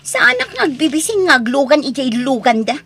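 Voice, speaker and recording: A woman's voice: high voice, sing-song, fairly quick, gravelly, a bit bright, slight background noise, heavily acted. A woman playing an envious, proud village wife and scornful gossip in an Ilocano audio drama, with an Ilocano accent and haughty, emphatic delivery. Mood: indignant.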